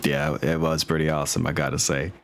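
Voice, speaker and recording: A man with a deep voice acts as game master in casual chat. He talks informally, with slangy turns of phrase and an apologetic streak, as he gets things set up. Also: flat delivery, quick pace, gravelly, a little hiss or hum. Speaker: game master and host of a tabletop campaign. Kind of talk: casual chat while setting up an online game. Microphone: online voice chat.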